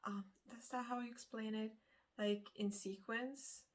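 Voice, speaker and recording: A woman's voice: fairly high pitch, fairly even intonation, unhurried. A woman from Lithuania, a native Lithuanian speaker, chatting casually and unscripted to camera as a book vlogger, rambling and correcting herself hesitantly as she goes.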